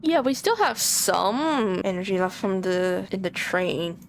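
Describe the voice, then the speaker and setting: Casual, joking woman streamer; lively intonation. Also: mid-range voice, unhurried, a little hiss or hum. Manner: chatty, joking. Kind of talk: casual reactions while playing. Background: game music.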